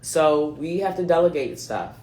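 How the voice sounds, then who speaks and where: A woman's voice: low-pitched, animated, measured pace, dark tone, echoey room, slight background noise. A woman in her late thirties with a mixed US East Coast accent, giving a chatty, slangy card reading straight to her viewers. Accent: mixed US East Coast (New England, Philadelphia, North Carolina, Delaware). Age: late 30s. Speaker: card reader.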